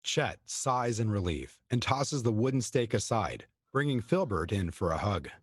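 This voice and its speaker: A man's voice: lowish voice, animated, normal speed, slightly rough, dry recording, clean studio sound. A man reading stage directions as the narrator of a scripted play.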